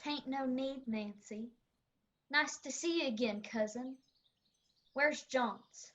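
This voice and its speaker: A woman playing a sharp-tongued, defiant young backwoods sister in a radio drama, with an Appalachian accent. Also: sits high, slow pace, exaggerated voice. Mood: curt.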